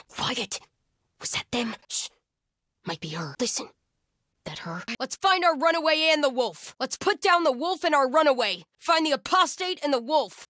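Husky, terse cult soldier. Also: female voice, sits high, fairly bright tone, no room sound, clean studio sound. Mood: hushed, wary, then determined. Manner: terse, clipped commands and callouts. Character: hardened cult soldier woman, vigilant, menacing hunter of trespassers. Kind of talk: video game combat voice lines.

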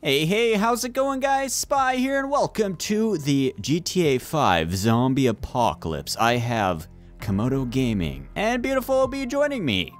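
A man's voice: fairly high pitch, big pitch swings, a little slow. A man hosting a gaming video, chatting casually and playfully through multiplayer roleplay gameplay.

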